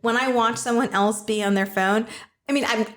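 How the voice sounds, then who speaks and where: A woman's voice: fairly high pitch, steady tone, normal speed, a bit bright. A woman talking earnestly and giving her opinions in a casual group chat.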